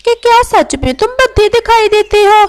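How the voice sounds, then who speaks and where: Woman voicing a very high-pitched scheming female crow. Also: moderate pace, slight background noise. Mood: falsely concerned. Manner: falsely sweet, gossipy, goading. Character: sly, scheming female crow stirring up quarrels. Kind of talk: animated children's moral story dialogue.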